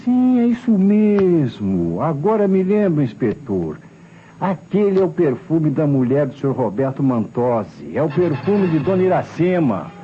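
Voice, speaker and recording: An eager, respectful police assistant in an old Brazilian radio mystery. He reasons aloud with a lively, hesitant delivery. The sound is muffled and old, with a faint hiss of noise. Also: fairly high pitch, a little slow. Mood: pondering, excited. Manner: deferential, hesitant, thinking aloud. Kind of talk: old Brazilian radio crime drama acting.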